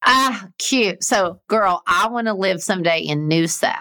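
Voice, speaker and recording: A woman's voice: mid-range voice, expressive, unhurried, sharp tone. A woman co-hosting a podcast in casual, informal chat, talking as if to a girlfriend. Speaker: podcast co-host.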